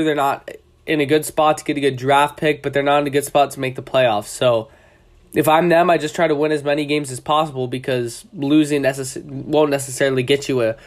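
Congested young man hosting sports talk. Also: a little high. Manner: loose, rambling, self-correcting. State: congested from a cold. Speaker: podcast host. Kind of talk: casual sports talk podcast.

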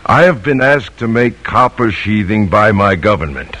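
An actor playing a plain-spoken, determined American silversmith in an old-time radio drama. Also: male voice, on the low side, lively intonation, fairly bright tone. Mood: quiet resolve. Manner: plain-spoken, deliberate, resolute. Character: brisk, determined American silversmith and patriot, stubborn father. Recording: vintage radio recording.

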